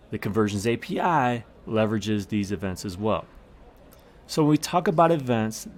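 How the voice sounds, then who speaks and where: A man hosting a podcast, explaining tips in a scripted monologue with emphatic, short punchy phrases. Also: mid-range voice. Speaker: podcast host.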